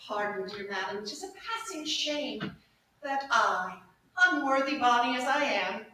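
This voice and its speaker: A woman playing a teasing, cheeky waiting-maid in a theatrical Shakespearean staged reading, with dry, knowing, measured asides. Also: a little high, expressive, sharp tone. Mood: coy, knowing. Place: echoey room at a live staged reading. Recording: echoey room, distant mic, faint noise.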